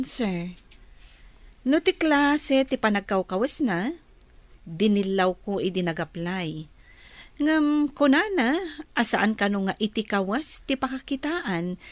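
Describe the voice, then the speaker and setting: A woman's voice: medium pitch, animated, takes it slowly, long pauses, no room sound. A woman with an Ilocano accent playing a prying, catty office superior with a pointed manner in a radio drama. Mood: prying, puzzled.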